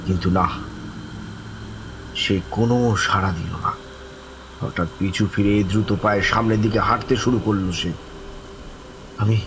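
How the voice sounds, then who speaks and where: A man playing a terrified, distraught survivor who tells his ghost story in a halting, dramatic way. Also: lowish voice, noisy background. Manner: halting, dramatic, repeating words, breaking into desperate cries. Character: terrified, distraught man recounting a haunting he lived through. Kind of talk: dramatized first-person horror narration.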